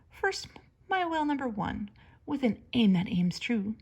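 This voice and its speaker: Husky-edged woman reading a storybook dramatically. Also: on the high side, darkish tone. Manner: dramatic, theatrical emphasis on words. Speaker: teacher reading aloud. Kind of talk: expressive children's storybook read-aloud.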